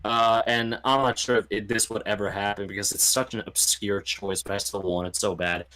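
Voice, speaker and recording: Casual man with a lowish voice. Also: level tone, a little fast, sharp tone, poor audio. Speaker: podcast guest. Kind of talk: casual podcast chat about games.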